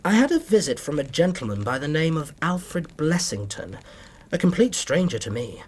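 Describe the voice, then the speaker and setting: A young English doctor in period radio drama, modest and courteous. The voice sits a little high and is slightly husky. He speaks precisely but hesitantly, with lively rises and falls. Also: moderate pace. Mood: earnest, confiding. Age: young adult. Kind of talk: period radio drama acting, narrating his story.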